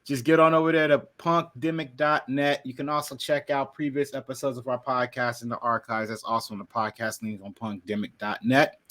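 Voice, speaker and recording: A man's voice: medium pitch, level tone, fairly quick, hardly any pauses, a bit bright, slight background noise. A man co-hosting a podcast, joking and hamming it up while plugging merchandise. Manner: joking, hammy, teasing. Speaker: podcast co-host. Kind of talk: playful podcast promo banter.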